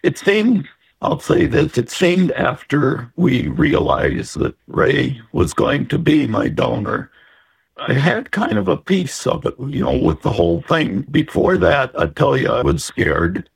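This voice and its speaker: A man's voice: mid-range voice, sing-song, a little slow, creaky, husky. An older man recalling his own experience in a casual, plainspoken way. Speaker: interview guest, transplant recipient. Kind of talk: casual personal recollection.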